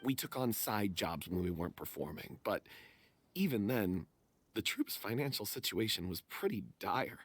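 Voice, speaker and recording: A man's voice: fairly low pitch, expressive, normal speed, husky, dry recording. A man playing a guilt-ridden member of a theater troupe, speaking in remorseful, dramatic confession. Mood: regretful, explaining. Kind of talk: dramatic voice acting.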